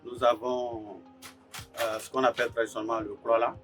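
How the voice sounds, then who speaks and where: A man tells his story in an interview, pausing often on filler sounds. His voice sits at an average pitch and sounds a little distant from the mic, with faint music underneath. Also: little pitch movement, a bit bright. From Burkina Faso. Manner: hesitant, lots of filler sounds. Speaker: agricultural technician, farm co-founder. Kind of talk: interview testimony.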